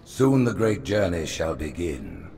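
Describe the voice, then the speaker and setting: Solemn, imperious low-voiced alien hierarch. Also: male voice, huge pitch swings, a little slow, vocal fry, heavily acted. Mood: stern judgment. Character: grave, commanding alien hierarch pronouncing judgment. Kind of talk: dramatic video game cutscene acting. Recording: game audio with loud background score.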